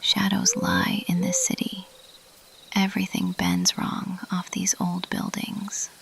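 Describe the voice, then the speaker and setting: A woman voicing a mischievous, playfully elusive bat monster girl in a teasing, intimate, breathy whisper for an ASMR roleplay. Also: fairly low pitch, big pitch swings, heavily acted. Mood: daring, playful. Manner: slow, teasing, intimate, lingering on pauses. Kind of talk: ASMR roleplay, addressed to the listener.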